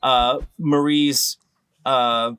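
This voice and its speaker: A man's voice: medium pitch, steady tone, fairly bright tone, smooth, no echo. A man hosting a bluffing game show, chatting loosely with frequent fillers and restarts. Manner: rambling, halting with many fillers. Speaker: game host. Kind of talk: casual group chat over a call.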